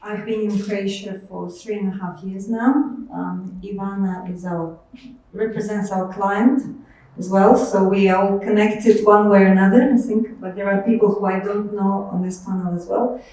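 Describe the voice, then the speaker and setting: A woman's voice: mid-range voice, fairly even intonation, normal speed, warm tone. A middle-aged woman from Ukraine telling a personal story as a panellist. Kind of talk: personal anecdote to an audience. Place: indoor panel event. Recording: very echoey room, distant mic.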